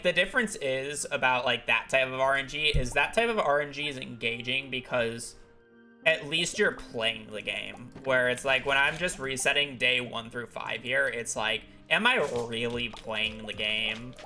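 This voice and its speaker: A man's voice: medium pitch, pushed and bright. A man talking casually over a live gaming stream, explaining at length with many fillers, his voice congested from a recent illness. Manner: rambling, filler-heavy explanations.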